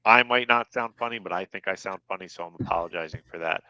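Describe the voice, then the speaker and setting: A man's voice: low voice, fast talker, pushed and bright, no echo, lo-fi sound. A man with a slightly nasal voice from a head cold, a comic writer talking easily and with enthusiasm as a podcast guest. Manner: easygoing, enthusiastic, laughs often. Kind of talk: casual podcast interview.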